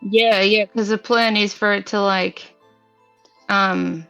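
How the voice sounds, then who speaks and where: A woman talks casually as a player in a tabletop game. Her delivery is slow and has a gravelly fry. The audio is a little dull and close, with faint music behind it. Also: medium pitch, fairly even intonation, fairly bright tone. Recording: muffled audio with music underneath. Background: background music.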